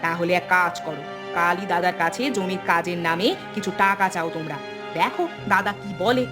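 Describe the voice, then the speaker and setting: A woman playing a sulky, sharp-tongued, shrewd village wife in a cartoon, talking in a scolding, questioning, colloquial way. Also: fairly low pitch, fairly even intonation, brisk, close to an everyday voice. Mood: scheming. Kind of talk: cartoon dialogue. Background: music.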